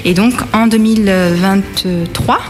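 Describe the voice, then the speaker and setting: A woman's voice: mid-range voice, darkish tone. Woman, 30s, interview guest, thoughtful and hesitant, faint music underneath. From France. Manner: halting, many filler pauses. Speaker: bakery manager interviewee. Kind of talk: casual interview answers.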